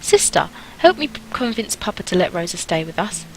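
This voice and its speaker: A woman's voice: lowish voice, sing-song, brisk, a little hiss or hum, rough recording. A woman voicing an eager, chattering young girl who pleads earnestly in a storybook reading. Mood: pleading. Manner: earnest, wheedling, talkative. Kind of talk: amateur audiobook character reading.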